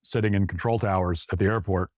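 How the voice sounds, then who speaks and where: A man with a fairly low voice delivers opinion commentary like a talk show host. He leans on key phrases and repeats them for emphasis. The sound is very dry, with no room on it. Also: fairly even intonation, fast, darkish tone, dry recording.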